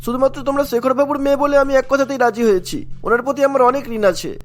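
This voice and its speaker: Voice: sits high, quick pace, close to an everyday voice. An actor voicing a helpful male army training officer in a cartoon, firm and reassuring. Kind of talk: cartoon voice acting. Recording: background music bed.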